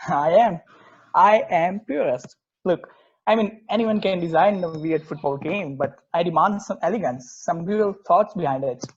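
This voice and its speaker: Man acting earnest purist football fan, fairly high-pitched, emphatic, conversational script reading, dull microphone. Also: moderate pace, breathy edge. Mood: earnest, insistent. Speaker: amateur actor in a remote table read.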